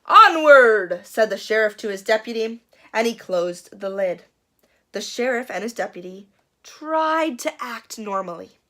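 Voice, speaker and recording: A woman reading a picture book aloud for children in an expressive, dramatic and playful way, building suspense. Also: medium pitch, unhurried. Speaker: children's storyteller.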